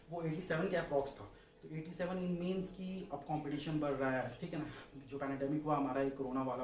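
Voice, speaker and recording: Young guy coaching for an exam, talks really fast, casual and instructive, echoey room. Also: a little high, darkish tone. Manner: instructive, colloquial, repeats points for emphasis. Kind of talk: informal exam strategy lesson. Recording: roomy, distant mic, faint noise.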